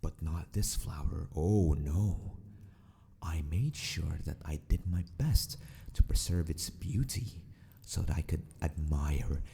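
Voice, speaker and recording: A man acting a sadistic, possessive mad scientist in an ASMR roleplay, speaking with formal, mock-polite theatricality and often slipping toward a breathy whisper. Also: extremely low pitch, animated, takes it slowly, dark tone, exaggerated voice. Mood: silky, possessive. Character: sadistic, possessive male mad scientist of an empire, mockingly courteous. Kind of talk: ASMR villain roleplay monologue.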